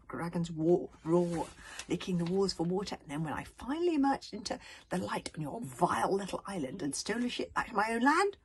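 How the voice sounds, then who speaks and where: A woman voicing a thin, sneering male storybook villain, vengeful and nasty, with a hissing, menacing drawl. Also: lowish voice.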